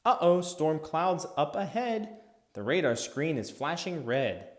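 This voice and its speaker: A man narrating a rhythmic children's picture book as an expressive read-aloud for young listeners. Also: on the high side, measured pace.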